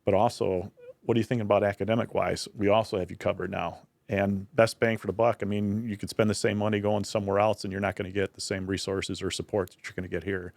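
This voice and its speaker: A man's voice: fairly low pitch, level tone, fast talker, creaky, a little mellow, dry recording. A man speaking as a guest on a podcast, explaining things earnestly with frequent restarts. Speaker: university director of military affairs, guest. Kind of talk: casual podcast conversation.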